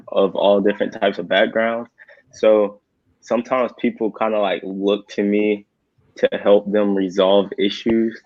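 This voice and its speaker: Young man, panel guest, low flat voice, halting, many fillers, muffled line. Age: young adult. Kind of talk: casual discussion.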